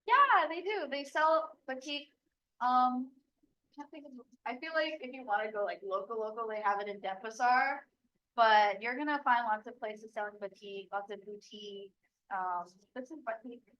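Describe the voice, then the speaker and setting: A woman's voice: fairly high pitch, moderate pace, bright, forceful tone, muffled recording. A young woman from Bali giving casual, chatty advice as a local guide, with lots of "like" fillers. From Bali, Indonesia. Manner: chatty, many "like" fillers, laughs easily.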